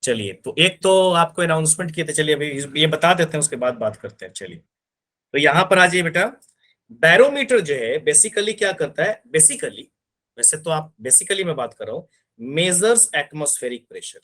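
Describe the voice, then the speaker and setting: A man's voice: fairly high pitch, sing-song, normal speed, sharp tone. A man teaching physics in an online class, explaining step by step and often checking that his students understand.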